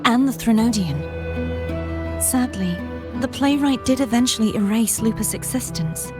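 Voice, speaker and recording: An actress playing a solemn, courteous mystical warrior woman in a video game, speaking in a formal, measured, grave way. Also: on the high side, expressive, lightly acted. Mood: grave, composed. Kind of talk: scripted game dialogue. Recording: studio voice under prominent game music.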